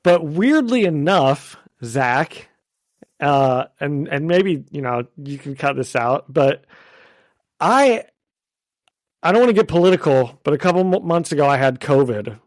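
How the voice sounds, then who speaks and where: A man's voice: fairly high pitch, lively intonation, measured pace, no room sound, studio quality. A man co-hosting a podcast in casual chat, getting over a cold with a slight cough, chatty and laughing often. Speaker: podcast co-host.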